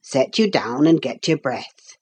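A woman's voice: sits low, sing-song, slow, husky, voice pushed down, heavily acted. A woman voicing a friendly old working-class carpenter in a storybook, teasing and kindly, with rustic, ungrammatical speech. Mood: gruff, kindly. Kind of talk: storybook character voice in a read-aloud.

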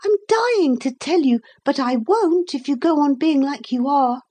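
Woman acting a young girl, high voice, earnest, stubborn, excitable, emphatic, old-fashioned storybook child. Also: measured pace. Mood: pleading.

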